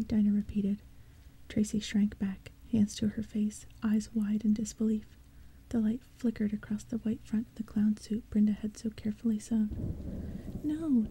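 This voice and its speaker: A woman narrating an audiobook in a soft, hushed whisper. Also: mid-range voice, slight background noise, poor audio.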